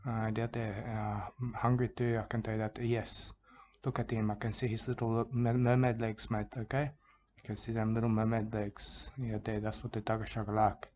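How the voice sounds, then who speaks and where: A man voicing a rambling, soft-spoken bushman who mumbles and keeps repeating himself in a parody of soothing wildlife narration. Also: medium pitch, a little hiss or hum, natural voice. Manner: hushed, mumbling, repeats "mate" and "I can tell you". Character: rambling male Aussie bushman, soft-spoken, plotting revenge. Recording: dull lo-fi recording.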